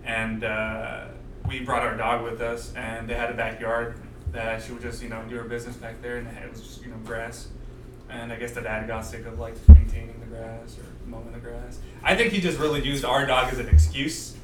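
A man's voice: medium pitch, level tone, moderate pace, distant mic, background noise. Young male guest, chatty and rambling, off-mic in an echoey room. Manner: rambling, filler-heavy explaining. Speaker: podcast guest. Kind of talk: casual storytelling chat.

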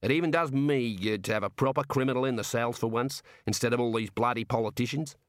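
A man's voice: mid-range voice, fast, no echo, clean studio sound, close to an everyday voice. Man voicing a cheerful prison warder. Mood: chatty, encouraging. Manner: folksy colloquial Australian-style slang. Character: cheerful, matter-of-fact male prison warder, chatty and obliging. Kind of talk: comic audiobook character voice.

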